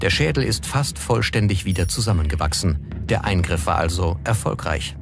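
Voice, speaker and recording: A man's voice: deep voice, animated, moderate pace, vocal fry, background noise. A man reading scripted documentary narration. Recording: music bed under the voice. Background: background music.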